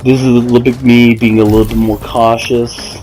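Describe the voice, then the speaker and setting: This is a man with a mid-pitched, fairly flat voice, talking over faint game music. He gives casual gameplay commentary. His delivery is explanatory but hesitant, often correcting itself along the way. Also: brisk, poor audio. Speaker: gaming video maker.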